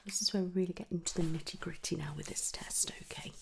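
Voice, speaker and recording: A woman doing an ASMR medical roleplay as an audiologist, gently and reassuringly moving between breathy whispers and soft speech. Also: fairly low pitch, fairly even intonation, quick pace. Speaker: ASMR performer playing an audiologist.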